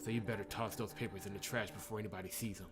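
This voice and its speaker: A man's voice: lowish voice, steady tone, very fast, voice pushed down, natural voice. A brash, stubborn female fighter from a visual novel as performed by a man. The delivery is combative and full of swearing, with faint music underneath. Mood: defiant. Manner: cocky, profane, combative. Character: brash, foul-mouthed, stubborn female wrestler.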